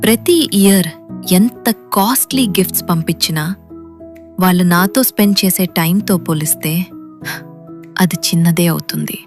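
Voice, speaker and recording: A woman's voice: lowish voice, a little slow, pauses often, faint music behind. Woman acting a rich, love-struck young lady. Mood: wistful. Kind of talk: cartoon story dialogue.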